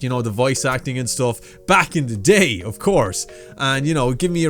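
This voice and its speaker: A man hosting a reaction video, chatting casually and informally to his viewers, whom he treats as friends. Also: fairly high pitch. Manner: informal, addresses viewers as dudes.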